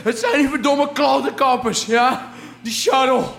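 Very high-pitched pleading young captive. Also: male voice, steady tone, a little slow, vocal fry, a bit bright, echoey room, slight background noise, exaggerated voice. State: crying out in pain. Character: frightened young male captive, pleading in pain. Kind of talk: audio drama acting.

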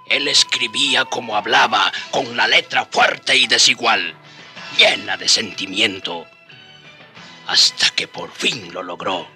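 A man narrating a historical radio drama with expressive, solemn, dramatic storytelling. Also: mid-range voice.

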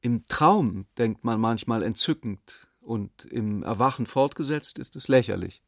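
A man's voice: mid-range voice, lively intonation, a little slow, vocal fry, no room sound, studio quality. A man reading literary narration and dialogue for a radio play.

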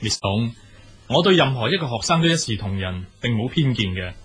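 A man playing a stern schoolteacher in a radio drama, formal and measured, with a Hong Kong Cantonese accent. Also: medium pitch, sing-song, fairly bright tone, a little hiss or hum.

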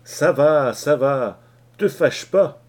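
A man's voice: medium pitch, sing-song, a little slow, warm tone. A man voicing a worried sailor who warns his shipmates gloomily, in an audiobook reading. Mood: uneasy.

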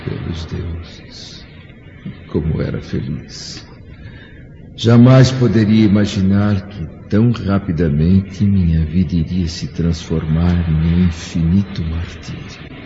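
A man's voice: low-pitched, slow pace. A man acting as an anguished Roman senator and remorseful father, solemn and theatrical, in a radio drama monologue. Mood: anguished, brooding. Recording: muffled recording with background noise.

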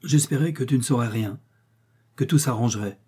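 Man voicing a ruined husband, desperate, guilty, agitated, husky. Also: medium pitch, fairly quick, darkish tone. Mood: despairing. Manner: agitated, despairing.

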